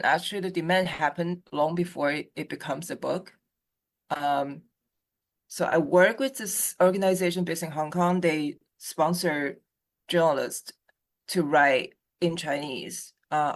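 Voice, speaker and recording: A copyright consultant with a gravelly, creaky voice talks in a casual group chat. Their speech is halting, full of restarts and fillers. The pitch sits in a middle range.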